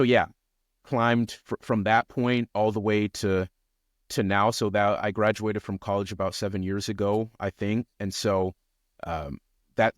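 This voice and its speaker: A young American man with a fairly low voice speaks in a conversational interview style. He explains himself in a reflective way, as a guest would, and the sound is dry with very little room in it. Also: dry recording. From Seattle, United States. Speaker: interview guest, journalist and podcaster. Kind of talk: conversational interview, personal account.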